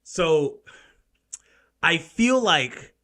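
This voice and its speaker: A man's voice: fairly high pitch, big pitch swings, extremely slow, pushed and bright. A man who is a comedian, chatting as a podcast guest, upbeat and laughing a lot. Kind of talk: casual chat.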